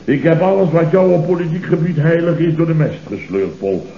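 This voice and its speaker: An actor playing a long-winded, aggrieved office boss in an old comedy radio play, theatrical and pompous. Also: male voice, a little high, animated, brisk, warm tone. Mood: pompous, indignant. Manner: theatrical, pompous, repeating his listener's name. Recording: old roomy, muffled radio recording.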